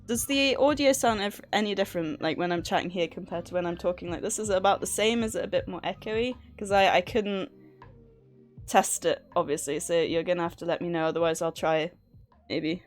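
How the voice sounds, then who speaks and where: A woman's voice: fairly low pitch, a little fast, faint music behind. A woman hosting a livestream, chatting casually in a nervous, giggly way. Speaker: livestream host. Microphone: streaming microphone at a desk.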